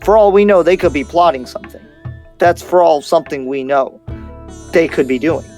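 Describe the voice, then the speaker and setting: A man playing a skeptical, cautious demon slayer in an improvised game roleplay, halting and repeating himself as he reasons. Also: a little high, darkish tone, soft background music, natural voice. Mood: wary, firm.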